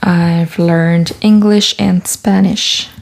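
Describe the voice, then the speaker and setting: Soft, slow-talking woman. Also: on the low side, steady tone, vocal fry. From Quebec, Canada. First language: French. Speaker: artist and author vlogger. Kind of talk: casual talk while doing collage. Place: small home studio room.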